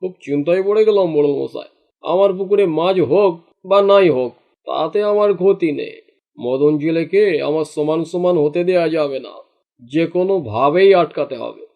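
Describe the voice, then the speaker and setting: A man's voice: a little high, moderate pace, muffled recording, lightly acted. A man voicing a jealous, greedy fisherman in a cartoon, grumbling and indignant in his delivery. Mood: spiteful, scheming. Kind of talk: cartoon dialogue acting.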